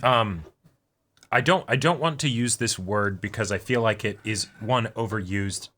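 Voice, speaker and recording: A man's voice: fairly low pitch, normal speed, pushed and bright. A man co-hosting a reaction show, casually analysing and qualifying his points carefully.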